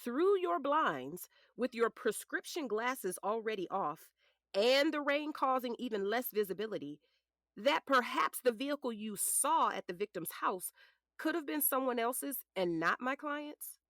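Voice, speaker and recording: A woman's voice: a little high, expressive, a little slow, fairly bright tone, no echo, clean studio sound. A woman playing a polished defense attorney in a courtroom drama, formal, methodical and pointed. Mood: polite, probing. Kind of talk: audio drama acting.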